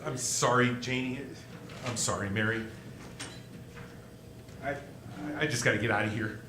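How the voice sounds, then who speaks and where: A man's voice: medium pitch, unhurried, background noise, natural voice. A man playing a desperate, hot-tempered family man who stammers with emotion in a live radio play. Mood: contrite, pleading. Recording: roomy live stage, music behind.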